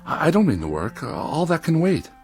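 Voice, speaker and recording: A man's voice: fairly high pitch, huge pitch swings, a little fast, darkish tone, slight background noise. A man playing a pompous, irritable and lonely magazine critic with haughty, precise diction in a 1960s television drama. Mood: hesitant, pleading. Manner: haughty, ornate, precise wording.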